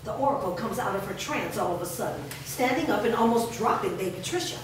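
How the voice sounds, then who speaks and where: A woman's voice: mid-range voice, lively intonation, fast. A woman reading stage directions as scripted narration. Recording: noisy, very echoey hall from far off.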